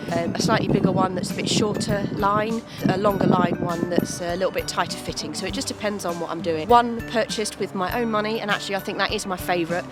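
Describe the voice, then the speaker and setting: An English woman chatting informally in a personal vlog, bunged up with a cold and a sore throat. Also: medium pitch. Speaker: vlogger.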